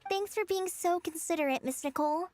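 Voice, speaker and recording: Anime game role: tender, sincere young girl, very high pitch, hesitant, dry recording. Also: brisk, darkish tone, dry recording. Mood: grateful. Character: gentle, earnest young girl with a painful past. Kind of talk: anime-style video game voice acting.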